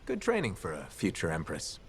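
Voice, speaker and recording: Low, animated hero. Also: male voice, brisk, creaky, a little hiss or hum, exaggerated voice. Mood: wry. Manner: wry, assured. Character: confident wisecracking male freelancer hero. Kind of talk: video game protagonist dialogue.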